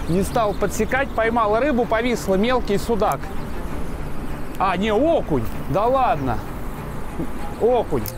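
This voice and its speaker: A man's voice: high voice, animated, measured pace, pauses often, noisy background. A man in his thirties hosting a fishing show, chatting and exclaiming as he fishes on a rocky riverbank by a dam. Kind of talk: excited outdoor chat while fishing.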